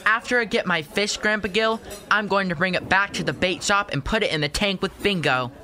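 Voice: medium pitch, a little fast, sharp tone, lightly acted. An actor playing an eager, hopeful young boy with earnest certainty in a radio play.

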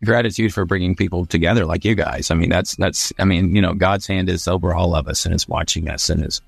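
Low, creaky-voiced male interviewer. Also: steady tone, quick pace, no echo. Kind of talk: conversational interview.

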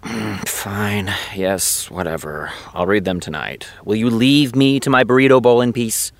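Grumpy woman TV creator, gravelly, extremely low, weary drawl, reluctant, fiction podcast, faint noise. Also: a little slow. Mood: grudging, weary. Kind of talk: naturalistic fiction podcast acting.

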